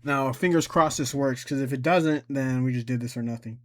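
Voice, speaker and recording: A man giving a casual, informal software tutorial, talking himself through each step as he goes. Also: medium pitch, brisk, a little hiss or hum. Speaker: tutorial host.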